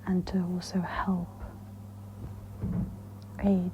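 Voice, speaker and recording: A woman speaks in a whispery, breathy voice, gently guiding a meditation in a soft-spoken ASMR style. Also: fairly low pitch, completely flat delivery. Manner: slow, gentle, instructional. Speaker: host of guided meditation ASMR. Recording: dull lo-fi with audible background noise.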